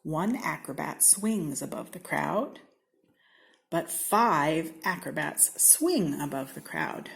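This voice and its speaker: Casting: American woman, slightly low voice, unhurried and very animated, for children's picture-book read-alouds with playful emphasis. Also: gravelly. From Seattle, United States. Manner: theatrical, deliberate, with playful emphasis and whispered asides. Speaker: storybook reader.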